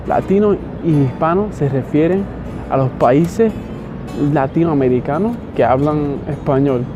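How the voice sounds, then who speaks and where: A young man from Miami, vlogging casually outdoors and talking with a hesitant, halting manner full of restarts. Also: fairly high pitch. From Miami, United States. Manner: hesitant, many restarts and fillers. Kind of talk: casual talk to camera. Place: outdoors in a city. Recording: dull lo-fi audio with loud background music.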